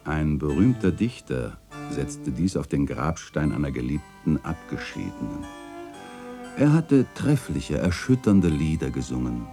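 An actor playing a wistful old chronicler, narrating a literary radio play in a measured, lyrical and contemplative way, with a husky voice. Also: male voice, sing-song, over music, exaggerated voice. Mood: mournful, wistful. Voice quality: very deep, husky. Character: wistful old male chronicler reminiscing about his beloved alley.

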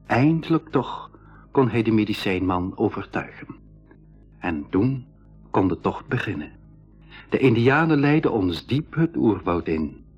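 A husky, low-pitched explorer recounts his jungle adventure for a radio play. The narration is scripted and dramatic. Soft music runs under the slightly dull recording. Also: very animated, measured pace, exaggerated voice. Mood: storytelling. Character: male expedition member retelling a jungle adventure.

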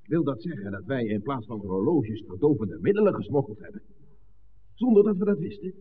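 Actor as a blunt smuggler, tinny old radio. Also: male voice, medium pitch, big pitch swings, fairly quick, a little hiss or hum, close to an everyday voice. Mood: indignant. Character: blunt, indignant village man caught up in smuggling. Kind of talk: 1960s Dutch radio play acting.

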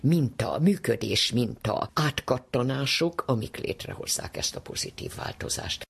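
A man's voice: mid-range voice, very animated, gravelly, fairly bright tone, breathy edge. An older man speaking as a psychologist, explaining things reflectively in an emphatic, discursive manner. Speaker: psychologist guest. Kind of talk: reflective explanatory interview talk.

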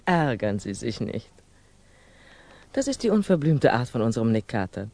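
Older woman, revolutionary, composed, candid, admonishing, lively melodic range, vintage radio drama. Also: very deep voice, no room sound, exaggerated voice. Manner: measured, admonishing, probing. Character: grey-haired female revolutionary, composed, probing, candid. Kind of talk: 1960s German radio drama acting.

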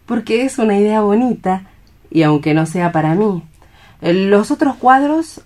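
An actress playing a shy, lonely, childlike young nurse in a radio play, earnest and naive. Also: female voice, medium pitch, expressive, measured pace, darkish tone, close to an everyday voice. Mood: grateful, shy.

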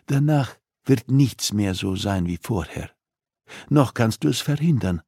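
A man's voice: on the low side, animated, a little slow, gravelly, a little mellow, husky, no room sound, studio quality, heavily acted. A man narrating an audiobook, voicing a coolly persuasive, threatening female criminal who interrogates with insistence and condescension. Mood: insistent, threatening.

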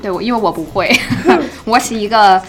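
A woman's voice: mid-range voice, normal speed, music in the background. A woman host with a standard Mandarin accent, chatting casually and responding eagerly. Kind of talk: casual chat.

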